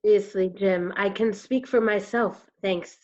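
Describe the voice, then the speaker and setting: A woman's voice: medium pitch, steady tone, measured pace, mellow, lightly acted. A woman playing a guarded, sardonic circus performer in a staged play reading, speaking dryly and curtly. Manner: dry, curt, sardonic. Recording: muffled video call.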